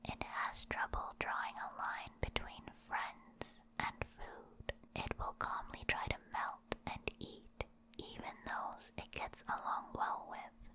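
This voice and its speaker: A woman reading reference entries in an unvoiced, breathy ASMR whisper, with measured, spaced-out phrasing. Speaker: ASMR host.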